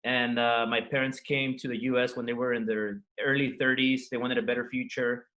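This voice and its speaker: La guy, spanish was his first language, talks earnest n rambly about his life, normal pitch. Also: flat delivery, a little fast, pushed and bright, rough recording. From Los Angeles, USA. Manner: earnest, frank, loose run-on telling with restarts. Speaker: entrepreneur guest. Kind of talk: interview storytelling.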